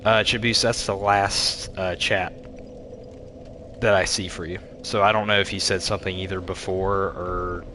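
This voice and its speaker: A man with a stuffy, congested voice, livestreaming a video game with casual, halting commentary and replies to chat. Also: deep voice, little pitch movement, bright, forceful tone, a little hiss or hum. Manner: halting, reading and answering chat aloud. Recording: game music behind the voice. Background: video game music.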